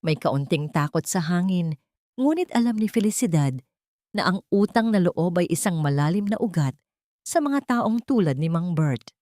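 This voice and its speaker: Woman, audiobook narrator, dramatic scripted storytelling, lowish voice, dry studio-like sound. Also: animated, moderate pace, mellow, no echo.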